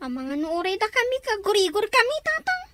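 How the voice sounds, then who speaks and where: A woman's voice: very high-pitched, big pitch swings, quick pace, fairly bright tone, no room sound, full character voice. A woman with an Ilocano accent playing a pleading, petulant young student in a radio drama.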